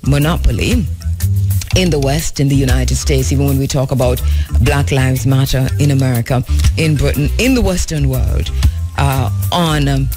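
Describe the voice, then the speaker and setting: A woman's voice: extremely low pitch, moderate pace, music in the background. A woman hosting a talk radio show from the studio, speaking earnestly with frequent fillers and restarts. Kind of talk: talk radio commentary and interview.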